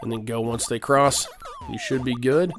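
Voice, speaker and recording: A man with a cold giving rambling, casual commentary while playing an old video game, often clearing his throat and using fillers. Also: medium pitch, lively intonation, moderate pace. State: has a cold. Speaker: let's play host. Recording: game music behind the voice.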